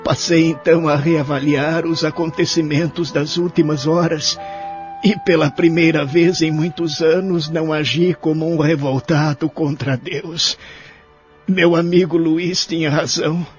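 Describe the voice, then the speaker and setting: Guy playing sad lonely old man in an audio drama, kinda high voice, measured and repentant, music behind. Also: fairly even intonation, highs cut off, close to an everyday voice. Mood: sorrowful, reflective. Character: lonely elderly man in a care home, sorrowful, repentant. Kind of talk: audio drama narration and dialogue.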